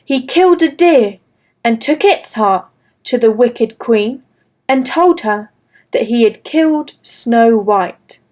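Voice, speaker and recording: A woman narrates a classic fairy tale for children in a slow, careful, gentle reading. Her voice sits high and the pace stays unhurried throughout. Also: animated. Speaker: storyteller. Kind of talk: scripted children's story narration.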